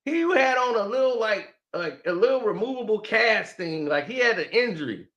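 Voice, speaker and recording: A man's voice: high-pitched, sing-song, moderate pace, fairly bright tone, highs cut off. A man giving a heated, mocking sports commentary rant that repeats its points.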